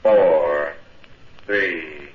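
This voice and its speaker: An actor playing a solemn, impassive launch countdown announcer in a 1950s radio drama, counting in a measured way. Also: male voice, a bass voice, distant mic, full character voice. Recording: echoey, dull, noisy 1950 radio recording.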